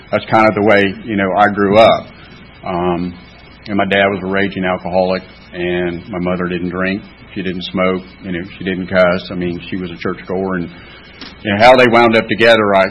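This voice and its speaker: A man in his fifties with a Southern US accent, telling his recovery story at a meeting, halting with many fillers and restarts. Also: low-pitched, slight background noise. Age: 50s. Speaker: AA speaker. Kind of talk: personal recovery talk. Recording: dull lo-fi meeting recording.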